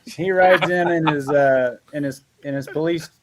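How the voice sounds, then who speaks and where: Brief: man, a touch high-pitched, eager developer talking up his game. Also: normal speed, noisy background. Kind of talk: casual chat.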